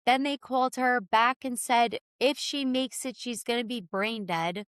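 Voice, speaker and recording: Raspy, higher-pitched woman telling her story. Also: a little slow, a bit bright, no echo, studio quality. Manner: informal, peppered with "like" and "you know". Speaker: podcast guest telling her own story. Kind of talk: candid personal storytelling.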